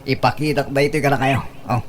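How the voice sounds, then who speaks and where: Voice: medium pitch, steady tone, fast talker, lightly acted. An actor playing an insistent young son-in-law demanding money in comedic radio drama, with an Ilocano accent.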